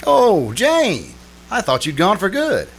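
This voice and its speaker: A man's voice: high-pitched, wildly swooping pitch, slow pace, breathy edge, exaggerated voice. A man playing a hesitant, sly husband bluffing his way along in an old-time radio comedy. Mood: resigned.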